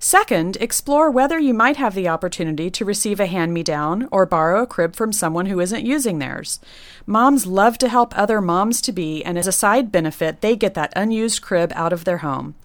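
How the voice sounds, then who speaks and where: A woman who designs nurseries, reading a prepared segment of tips in a clear, instructive way. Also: mid-range voice, expressive, moderate pace, no echo. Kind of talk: scripted segment read.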